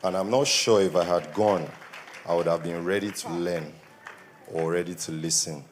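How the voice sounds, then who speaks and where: A young Nigerian man with a Nigerian accent gives an earnest testimony at a church service, his voice a little worn from shouting. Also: low-pitched, normal speed, background noise. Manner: earnest, grows more emphatic. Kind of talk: spoken testimony.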